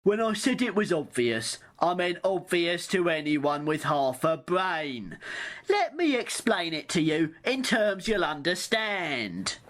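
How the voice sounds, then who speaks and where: A woman's voice: on the low side, very animated, slow pace. A woman playing a sly, self-justifying opportunist with a smug, sardonic manner in an amateur radio play.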